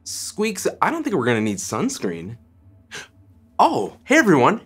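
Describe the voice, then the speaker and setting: A man in his thirties teaches young viewers in a cheerful, encouraging way, his pitch bouncing up and down with animated expression. His voice has a soft, slightly husky breathiness. He talks straight to the camera from a studio set. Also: a little slow. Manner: bright, encouraging, talks to an unseen puppet sidekick. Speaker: children's science show host. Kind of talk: scripted kids' science lesson to camera.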